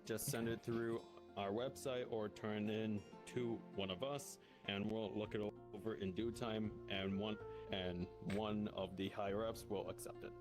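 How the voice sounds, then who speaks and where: A man's voice: lowish voice, fairly even intonation, a little slow. A man in improvised online role-play, explaining things in a wordy way as a guide. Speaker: role-player as a guild guide. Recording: guitar music behind the voice. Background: guitar music.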